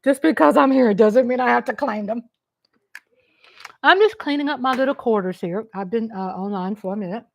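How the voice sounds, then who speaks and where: A woman's voice: a little high, normal speed, creaky. A woman from the American South with a Texas accent, hosting a casual live chat stream from home in a playful, teasing way.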